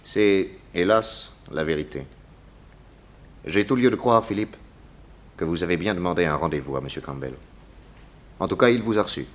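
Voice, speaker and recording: An actor playing a shrewd, authoritative detective in a 1960s French radio drama, theatrical, deliberate and accusatory. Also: male voice, low voice, lively intonation. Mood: stern, explaining.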